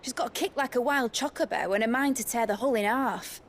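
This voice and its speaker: Woman acting a high-pitched, brash young ship engineer. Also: a little fast, a little hiss or hum, lightly acted. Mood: wry, cocky. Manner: salty, colloquial, boastful. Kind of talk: lively fantasy game voice acting.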